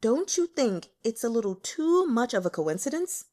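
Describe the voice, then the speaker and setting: A woman's voice: a little high, big pitch swings, moderate pace, mellow. A woman voicing a careful, deferential male attendant advising his lord in an audiobook. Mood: cautious, worried. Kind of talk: audiobook character acting.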